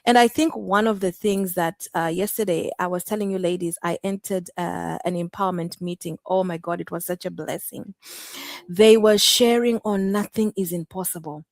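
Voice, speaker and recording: A Zimbabwean woman, middle-aged, hosting a casual livestream chat in an unhurried, effusive way. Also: lowish voice, steady tone, vocal fry, dry recording. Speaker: host.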